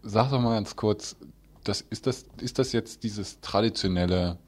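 Low-voiced male radio host, informal. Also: a little slow. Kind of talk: informal live radio presenting. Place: radio studio.

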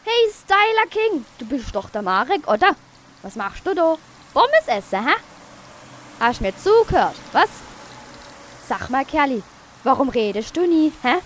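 Woman playing a brash Swabian would-be gangster rapper, high-voiced. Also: sing-song, unhurried, long pauses, slight background noise. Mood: teasing, curious. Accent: Swabian German. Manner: slangy, pushy, punctuates talk with "hä". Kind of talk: radio play acting.

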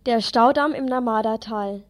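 Higher-voiced woman reading a news report. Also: normal speed, a little hiss or hum.

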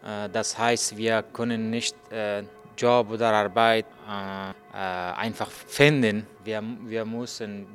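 Slow, halting man in his thirties. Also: lowish voice, a bit bright. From Afghanistan. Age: 30s. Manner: halting, many fillers and restarts. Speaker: journalist telling his story. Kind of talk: hesitant interview answers in learner German.

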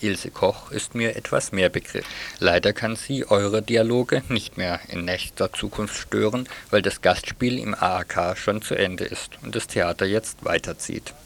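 A man's voice: lowish voice, unhurried, vocal fry. A man reading a scripted radio theatre review in a wry, opinionated manner.

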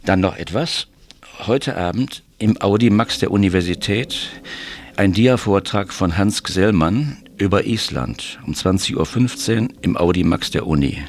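Very deep-voiced man announcing events. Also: measured pace. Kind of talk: informal radio event listings.

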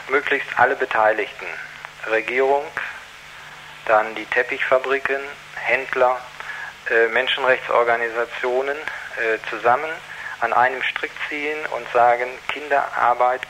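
A man gives earnest, considered answers as an activist guest on the radio. His voice sits at a middling pitch and he hesitates as he goes. There is a faint hum and noise on the recording. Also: fairly even intonation, unhurried, pushed and bright, low-quality recording. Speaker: activist guest against child labour. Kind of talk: radio interview, considered explanation.

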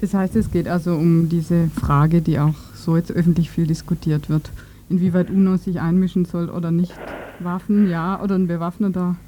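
A woman presents and asks questions on local radio. Her voice is extremely mellow and fairly low, with faint noise on the recording. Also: steady tone, normal speed. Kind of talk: radio introduction and interview questions.

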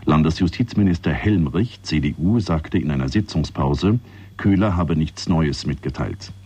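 Very deep, dark-voiced male newsreader. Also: moderate pace, breathy edge. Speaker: radio newsreader. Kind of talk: formal scripted news bulletin.

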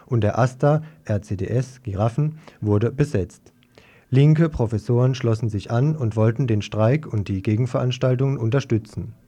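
A man's voice: mid-range voice, normal speed, dark tone. A man reading out a scripted political report as a radio news reader.

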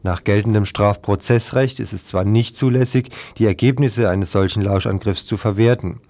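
A man's voice: lowish voice, normal speed, warm tone, no room sound. A man presenting scripted news as a radio presenter. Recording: dry 1990s radio recording.

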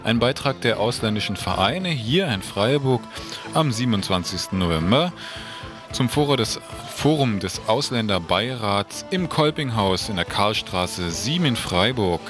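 Male radio presenter, lowish voice, loose and stumbling live announcements over a music bed. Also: animated, normal speed. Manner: informal, stumbling over words. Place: radio studio. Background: music under the voice.

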